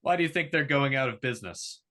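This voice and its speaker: A man's voice: medium pitch, expressive, fast talker, sharp tone. A man reading the part of an awkward, privileged young heir in a drama table read, talking in a casual, rambling way. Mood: defensive.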